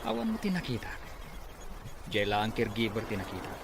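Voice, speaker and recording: A man's voice: on the low side, very animated, fairly quick, vocal fry, background noise. An actor with an Ilocano accent playing a scheming, greedy man in a Filipino radio drama. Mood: sly, impatient.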